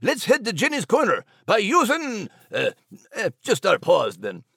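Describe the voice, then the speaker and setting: A gruff, growling Scottish dog, loyal and homespun, as a man puts him on. He rolls through a lively, swinging voice pitched well above an everyday man's, heard close and dry. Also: a little slow, no room sound, exaggerated voice. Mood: easygoing. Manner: growling, folksy.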